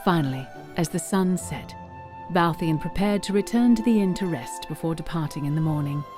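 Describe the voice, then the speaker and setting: Low-pitched woman narrator over music. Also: animated, normal speed. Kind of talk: dramatic scripted storytelling narration.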